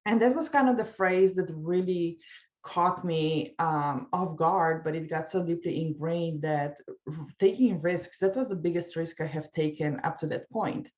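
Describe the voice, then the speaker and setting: A woman from Slovenia with a Slovenian accent, a guest talking casually in an interview, chatty and quick to laugh. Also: lowish voice, a little mellow, dull audio. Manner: chatty, laughs easily, goes off on tangents. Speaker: guest, life coach.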